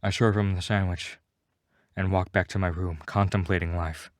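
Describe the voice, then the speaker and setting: A man with a fairly low voice narrates a scripted first-person tale, recorded close and dry. He delivers it with mock-serious melodrama, treating every line as grave drama. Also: fairly even intonation, normal speed, dry recording. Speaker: story narrator.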